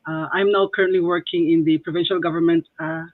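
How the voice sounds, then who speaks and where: A woman's voice: on the low side, steady tone, fast talker, no room sound. Tinny-sounding woman. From Cebu, Philippines. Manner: chatty, laughs often between phrases. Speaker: interview guest. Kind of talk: casual interview talk. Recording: tinny phone-like line, dry.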